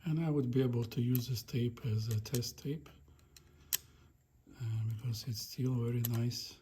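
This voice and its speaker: A man giving a casual hands-on demonstration, murmuring halting step-by-step remarks as he works, recorded on a phone. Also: medium pitch, steady tone, dark tone, a little hiss or hum. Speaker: hobbyist demonstrating a tape deck repair. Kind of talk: casual hands-on repair demonstration, talking while working. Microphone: phone held in front of him.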